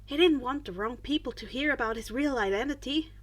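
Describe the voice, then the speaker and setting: A woman reading fan fiction aloud as an expressive story narrator. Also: sits high, fairly quick.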